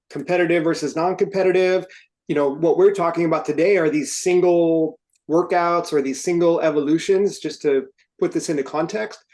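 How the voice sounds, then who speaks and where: A man's voice: fairly high pitch, a little mellow. A man co-hosting an instructional podcast, explaining methodically and step by step in an informal way. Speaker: podcast co-host, firefighter and trainer.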